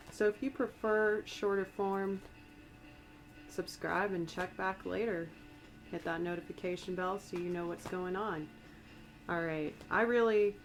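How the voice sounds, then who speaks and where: Woman, American accent, a bit raspy from a cold, soft ASMR reading, low-pitched. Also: low-quality recording. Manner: soft, meandering, conversational. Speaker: tarot reader and astrologer. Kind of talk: ASMR-style channeled tarot reading.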